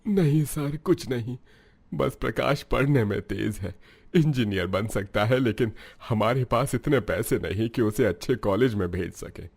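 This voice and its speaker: An actor voicing a poor, humble roadside cook and worried father in a cartoon story, polite and soft-spoken. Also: mid-range voice, unhurried, creaky, breathy edge. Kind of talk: cartoon voice acting.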